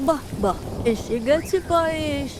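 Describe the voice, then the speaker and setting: A woman's voice: sits high, expressive, unhurried, very noisy. A woman voicing a hungry, henpecked husband crow in a cartoon, complaining in a plaintive, exclaiming way. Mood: flattering. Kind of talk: children's cartoon fairy-tale acting.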